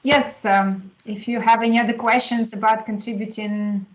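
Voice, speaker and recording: A woman from Ukraine with a Ukrainian accent giving a measured webinar talk, pausing often with fillers. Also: a little high, little pitch movement, reverberant, muffled recording. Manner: measured, with frequent fillers and pauses. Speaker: open-source community speaker. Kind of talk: webinar presentation.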